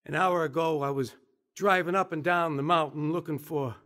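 A shattered, halting father. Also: fairly high pitch, steady tone, fairly bright tone. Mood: shaken, bitter. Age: middle-aged. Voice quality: shaky, halting. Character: distraught middle-aged father, betrayed and suicidal. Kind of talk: radio drama acting.

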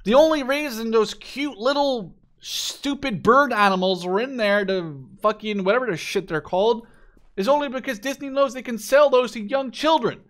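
A man's voice: very high-pitched, moderate pace, a bit bright. A man in his twenties giving casual, unscripted gameplay commentary, rambling and swearing freely as he shares his opinions. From Canada. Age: 20s. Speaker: gaming video creator.

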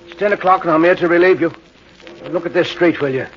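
An actor playing a chummy, stubborn air raid warden with a British accent in an old-time radio horror drama. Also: male voice, a little high, fast, soft background music, close to an everyday voice. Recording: muffled, noisy old radio recording.

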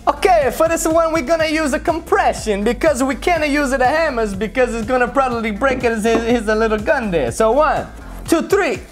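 Excitable cartoon plumber, mock Italian accent, high pitch, broken grammar, playful scolding, light music underneath. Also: female voice, normal speed. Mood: chatty, playful. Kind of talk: playful toy unboxing in character.

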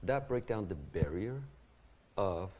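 A middle-aged man from Taiwan speaks in a lowish, lively voice that swings up and down, talking with his hands as he explains. He answers an interviewer earnestly in an ornate mansion living room, on a lapel mic with faint ambient noise behind him. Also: slow pace, dull audio. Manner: animated, gestures with hands while explaining. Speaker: guest, community founder.

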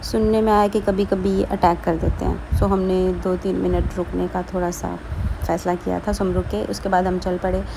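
A woman's voice: lowish voice, flat delivery, moderate pace, vocal fry, noisy background. A woman narrating a casual travel vlog, chatty and keen to recommend places to her viewers. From Pakistan. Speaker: travel vlogger.

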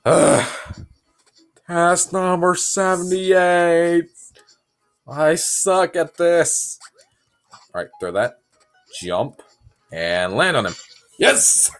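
A young man giving goofy, self-mocking commentary while playing a game, sick and sounding deeper than usual. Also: animated, drawn-out words, pauses often, soft background music. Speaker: gamer. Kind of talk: casual gameplay commentary.

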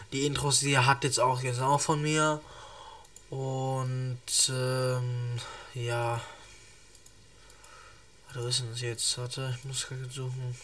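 A man's voice: mid-range voice, a bit bright, distant mic. A young man with a cold, chatting casually and rambling through a reaction video from a small room. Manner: rambling, many restarts and fillers. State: has a cold. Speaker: reaction streamer.

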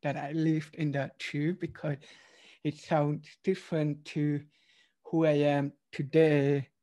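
Hesitant Swiss man, flat higher voice. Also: slow pace. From Switzerland. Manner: hesitant, many uhs, slightly non-native phrasing. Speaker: podcast guest. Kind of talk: personal storytelling interview. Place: home room, video call.

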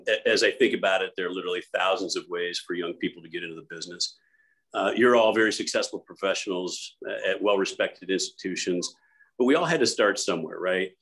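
Male moderator, very low voice. Courteous, slightly halting, hands questions to panelists. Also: fairly bright tone, rough recording.

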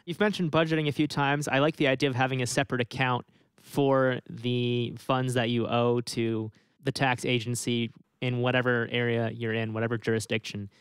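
A man's voice: medium pitch, little pitch movement, moderate pace, smooth, dry recording. A young man hosting an interview over a video call, asking questions in a friendly way. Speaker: host. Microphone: studio mic on stand.

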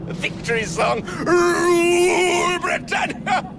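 An actor playing a desperate, half-mad lone survivor in a radio drama, theatrical and bitter. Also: male voice, very high-pitched, expressive, slow pace, heavily acted. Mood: frenzied defiance. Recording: loud ambient effects noise behind voice.

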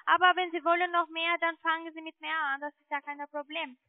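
High-voiced halting woman on a phone line. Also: level tone, no echo. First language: not German. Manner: hesitant, halting. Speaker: call centre sales agent. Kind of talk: phone sales pitch. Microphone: telephone. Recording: muffled narrowband phone line.

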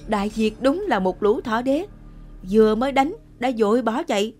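A woman's voice: on the high side, lively intonation, normal speed, a little mellow, faint music behind. A woman voicing an arrogant, scornful male invader commander in a historical cartoon. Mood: scornful gloating. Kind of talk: cartoon history drama acting.

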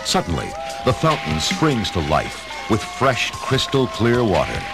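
A man narrating an adventure story in a measured, dramatic scripted style. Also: mid-range voice, wildly swooping pitch, gravelly, crowd noise. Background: dramatic music.

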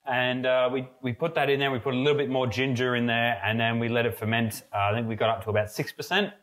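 A man's voice: mid-range voice, fast talker. A young man co-hosting a podcast from an indoor brewery, chatting casually with lots of fillers and restarts as he tastes a homebrew. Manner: relaxed, rambling, many fillers and restarts. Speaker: podcast co-host, mead brewer. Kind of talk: casual tasting chat. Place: brewery room with steel tanks, indoors.

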